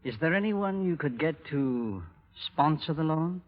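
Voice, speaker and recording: An actor playing a measured, businesslike moneylender in vintage radio drama style, speaking with formal, deliberate condescension. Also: male voice, on the high side, lively intonation. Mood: cool, reluctant. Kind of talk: 1930s-40s radio drama acting. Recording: muffled, reverberant vintage radio recording.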